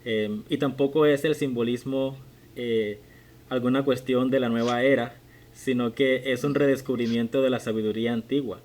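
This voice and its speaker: A young man in his twenties hosting a conversational podcast interview. His voice sits at a middle pitch, and he asks thoughtful, measured questions, sometimes restarting a phrase. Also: fairly even intonation, a little mellow. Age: 20s. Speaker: podcast host.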